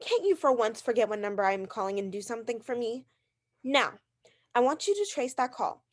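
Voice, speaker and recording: A woman's voice: fairly high pitch, sing-song, a little fast. A woman acting a neurotic, demanding, haughty invalid wife in a radio mystery drama, agitated and insistent. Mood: exasperated, demanding.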